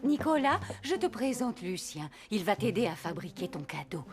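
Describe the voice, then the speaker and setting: A woman voicing Santa's kind, conspiratorial female helper in a French cartoon, with an expressive, coaxing delivery. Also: medium pitch, moderate pace, natural voice. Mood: secretive. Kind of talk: French animated series dubbing. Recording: music behind the voice.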